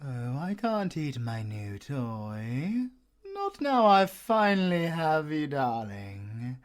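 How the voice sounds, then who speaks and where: A man's voice: medium pitch, very animated, slow pace. A British man voicing a possessive, sadistic werewolf villain in a theatrical audio roleplay, purring endearments and slipping between whispers and laughter. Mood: possessive, coaxing.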